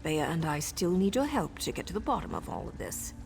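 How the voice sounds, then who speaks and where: An actress playing a pragmatic, principled dark elf assassin in a fantasy video game, sober and matter-of-fact. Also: female voice, deep voice, huge pitch swings, a little fast, creaky, faint music behind, full character voice. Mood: wary, resolute.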